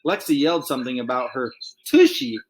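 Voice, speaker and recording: Expressive man reading a children's book aloud. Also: medium pitch, brisk, gravelly, a little hiss or hum. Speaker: read-aloud reader. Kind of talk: storybook read-aloud with casual asides.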